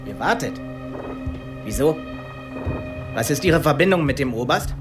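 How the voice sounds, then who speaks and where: An actor playing a suspicious, bitter young Nazi intelligence officer in a radio drama, interrogating with biting sarcasm. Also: male voice, mid-range voice, expressive, measured pace, natural voice. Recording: studio radio play with faint music.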